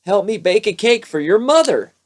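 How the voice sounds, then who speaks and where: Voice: mid-range voice, sing-song, moderate pace, voice pushed up, close to an everyday voice. A narrator voicing a helpful but bossy father frog in a children's storybook, calling out instructions emphatically. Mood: instructing.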